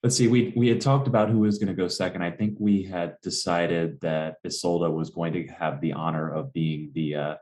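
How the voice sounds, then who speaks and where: A man's voice: deep voice, fairly even intonation, normal speed, few pauses, darkish tone. A man moderating a panel, casual and joking as he hands over to the next speaker.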